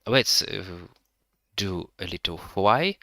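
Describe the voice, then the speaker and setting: A man with a Russian-Ukrainian accent guiding a live virtual tour, speaking halting English with many pauses to find his words. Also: lowish voice, very animated, gravelly, pushed and bright, no room sound, studio quality. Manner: hesitant, pausing to find words; halting non-native English with frequent fillers.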